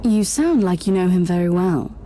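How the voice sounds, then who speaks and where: A woman's voice: lowish voice, lively intonation, moderate pace, warm tone, a little hiss or hum, lightly acted. An actress playing a severe, by-the-book female inquisitor, formal and commanding, in a grim space war video game. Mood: stern.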